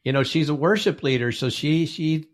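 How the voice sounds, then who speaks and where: A man's voice: a little high, moderate pace. Joking male podcast co-host. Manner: friendly, joking, introduces guest. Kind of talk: casual chat.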